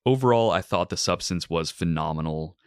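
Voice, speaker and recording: Deep-voiced male host, smooth and measured, dry studio sound. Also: no room sound. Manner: articulate, measured, uses "like" fillers. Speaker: podcast host. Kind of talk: film review and interview hosting.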